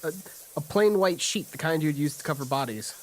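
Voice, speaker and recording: Dude running a tabletop game, sorta high voice, describing the scene off the cuff. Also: male voice, animated, a little fast. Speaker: game master. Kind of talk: improvised tabletop game narration.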